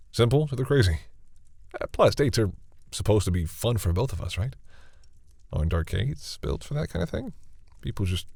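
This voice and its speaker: A man's voice: on the low side, a little mellow, no echo, studio quality. A man playing a shy, protective vampire boyfriend in an intimate audio roleplay, hesitant and stammering, easily embarrassed. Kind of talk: intimate audio roleplay, acted one-sided dialogue.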